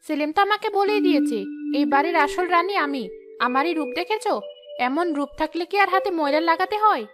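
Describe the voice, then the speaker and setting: A woman's voice: very high-pitched, animated, brisk, background noise. A woman playing a vain, spiteful young sister-in-law in a melodramatic cartoon, haughty and taunting. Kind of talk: melodramatic cartoon story dialogue.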